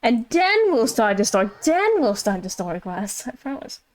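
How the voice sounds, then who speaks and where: Giggly, high-pitched woman streaming games. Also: big pitch swings, normal speed. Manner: rambling, thinking aloud; giggly, playful, self-deprecating. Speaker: livestreamer. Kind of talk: casual chatty game livestream commentary.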